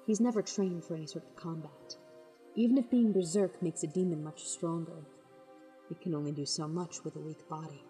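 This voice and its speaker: A woman voicing a solemn, world-weary female wind demon in a fan radio play, grave and measured. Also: sits low, warm tone, faint music behind, natural voice. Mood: explaining.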